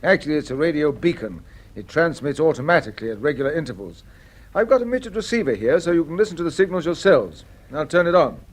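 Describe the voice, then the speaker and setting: A man's voice: on the high side, sing-song, fast talker. A man playing a methodical colonel in a 1950s radio drama, explaining things politely with small hesitations. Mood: explanatory. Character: methodical military colonel explaining technical gadgets.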